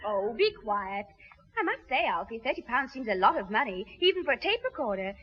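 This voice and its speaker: A woman playing a sensible, exasperated young older sister, brisk and scolding, in a 1960s radio sitcom. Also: on the high side, big pitch swings, husky. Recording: noisy, muffled old radio recording.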